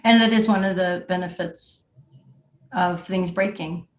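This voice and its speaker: A woman's voice: fairly low pitch, steady tone, measured pace. Roomy-sounding woman interviewer. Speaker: podcast host, interviewer. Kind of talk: casual conversational interview. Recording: roomy, echoing voice track.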